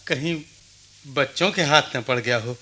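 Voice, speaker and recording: Slow, humble village labourer. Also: male voice, mid-range voice, bright, forceful tone, slightly rough. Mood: deferential, apologetic. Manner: hesitant, deferential. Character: humble, wistful village labourer, deferential to his master. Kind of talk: Hindi radio play acting.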